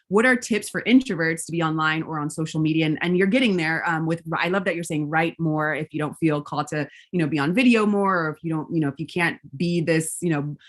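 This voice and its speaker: Chatty woman host, lots of you-knows. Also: deep voice. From raised between California and Georgia. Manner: warm affirmations, many fillers like you know. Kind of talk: casual interview chat.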